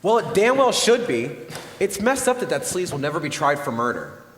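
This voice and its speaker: Guy playing sarcastic pissed off juror, super animated voice, stage play in reverby hall. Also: fairly high pitch, moderate pace. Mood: angry. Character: angry, sarcastic male juror. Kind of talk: amateur stage play acting. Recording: echoey hall, long reverb.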